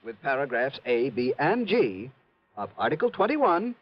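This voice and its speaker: A man's voice: medium pitch, sing-song, unhurried. An actor playing a fussy worrywart American insurance man with a hesitant, pedantic manner in a 1950s radio drama. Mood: fussy, precise. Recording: dull vintage radio recording.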